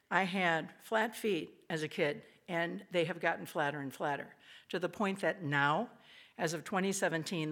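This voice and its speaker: An older woman with a raspy voice gives a chatty, self-deprecating devotional talk to an audience in a small old hall. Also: lowish voice. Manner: lively, chatty, self-deprecating preaching with quick asides. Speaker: speaker.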